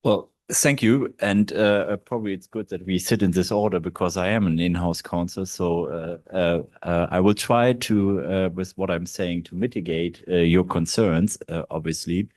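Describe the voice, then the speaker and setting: A man's voice: deep voice, normal speed, hardly any pauses, darkish tone, no room sound, studio quality. A man from Germany with a German accent, speaking as in-house counsel on a panel, hesitant with many fillers. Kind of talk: panel talk at a law event. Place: large historic hall.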